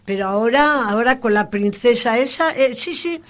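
Halting older woman recalling her past. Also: fairly high pitch, lively intonation, a bit bright. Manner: halting, repetitive, wandering recollection. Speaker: interviewee. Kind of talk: oral history interview.

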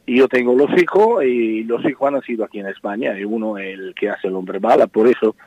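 A man's voice: fairly low pitch, fairly quick, a little mellow, dry recording. Spanish man with Italian accent, dull sound. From Spain. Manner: hesitant, repeats words, says "mire" often. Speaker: circus owner. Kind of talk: radio interview answers.

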